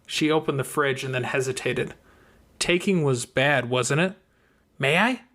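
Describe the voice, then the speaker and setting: A man's voice: medium pitch, normal speed, a bit bright. A man reading a scripted fiction audiobook as its narrator. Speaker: audiobook reader.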